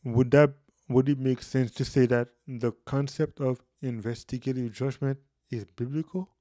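Man, 30s, Bible teacher, casual explainer, mid pitch, dry booth sound. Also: moderate pace, dry recording. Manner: explanatory, animated hand gestures. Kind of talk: casual Bible study video. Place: small room indoors. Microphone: studio mic on boom, headphones.

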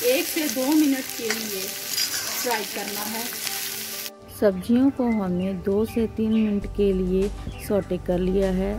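A woman's voice: a little high, rough recording. A woman hosting a cooking channel, giving a step-by-step recipe voice-over in short, instructive phrases.

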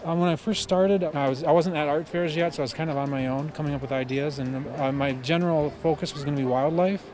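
Young man, fast talk, slightly high, full of fillers, outdoors. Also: darkish tone, rough recording. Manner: rambling, many fillers. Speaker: art fair exhibitor. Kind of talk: casual interview answers. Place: outdoors at a park art fair.

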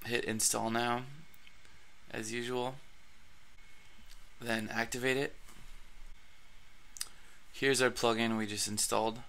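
A man hosting a screen-recorded blogging tutorial, his voice raspy from allergies, talking casually with plenty of fillers and restarts. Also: mid-range voice, flat delivery, slow, long pauses, a bit bright, a little hiss or hum.

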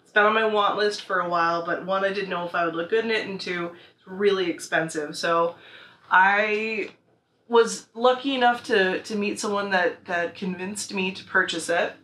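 Bright-voiced, excitable American woman vlogger. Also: mid-range voice, normal speed. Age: young adult. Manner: excitable, gushing. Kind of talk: casual chat, showing purchases to camera. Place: small living room, on a couch. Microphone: camera mic a few feet away. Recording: roomy echo, noisy camera audio.

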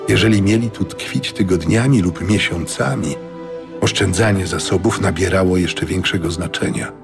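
A man's voice: low voice, creaky. A man giving a scripted, dramatic narration of a fantasy audiobook. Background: music.

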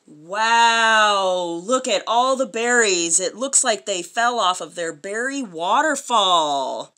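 A woman in her thirties reading a picture book aloud to a young child in an expressive, sing-song way, adding questions and comments. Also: medium pitch, slow. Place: small furnished room indoors.

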